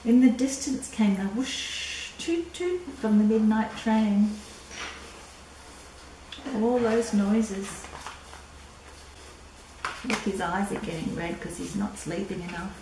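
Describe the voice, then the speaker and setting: Slow-reading older woman in an echoey room. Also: mid-range voice. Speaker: storyteller reading a picture book to children. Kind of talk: read-aloud children's story with asides. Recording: echoey room, distant mic, faint noise.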